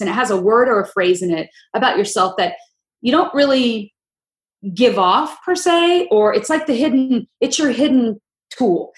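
Gruff-voiced woman host in a roomy space. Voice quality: deep, gruff voice. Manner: loud, opinionated, rambling storyteller. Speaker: podcast host. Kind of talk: casual chat. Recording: roomy echo.

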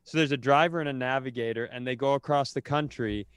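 A man's voice: medium pitch, fast talker, clean tone, no echo. Rambling male podcast host, clean dry sound. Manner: rambling, self-interrupting storyteller. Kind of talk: casual comedic chat and storytelling.

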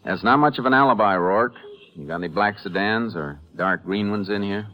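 An actor playing a calm, terse American Texas Ranger in a 1950s radio drama, speaking with deadpan authority. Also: male voice, on the low side, a little fast, highs cut off, lightly acted. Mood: stern, probing. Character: calm, commanding male Texas Ranger investigator. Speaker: lead actor and narrator. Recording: vintage 1950s radio broadcast recording.

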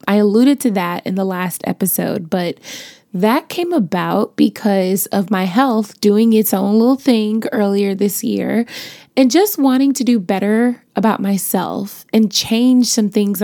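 A young adult woman hosting her podcast, chatty and playful, her voice raspy as it recovers from being lost, with features. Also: medium pitch, warm tone, no echo, clean studio sound. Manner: chatty, playful, sprinkles in laughs and slang. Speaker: podcast host. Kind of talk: casual motivational podcast talk.

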